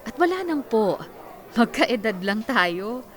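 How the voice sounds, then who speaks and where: An actress playing a kind but firm young office worker in a Filipino radio drama, friendly and assertive. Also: female voice, fairly high pitch, very animated, slow. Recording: music bed under dialogue.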